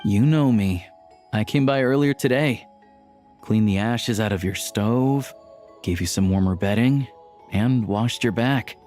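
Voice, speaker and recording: A man playing a patient, caring character in a video game, speaking gently and earnestly. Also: on the low side, measured pace, close to an everyday voice. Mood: gentle, reassuring. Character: patient, caring man who looks after an old woman. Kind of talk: video game voice acting, dialogue and exposition. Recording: background music under the dialogue.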